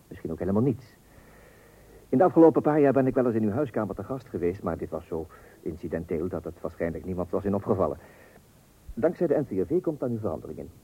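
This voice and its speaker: A man's voice: sits low, dark tone, slightly rough, full character voice. An actor playing a pompous, thrifty father who makes speeches in an old radio comedy, with a Netherlands Dutch accent. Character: pompous, thrifty, self-important husband and father. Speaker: actor playing the narrator of a family comedy serial. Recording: muffled old radio recording.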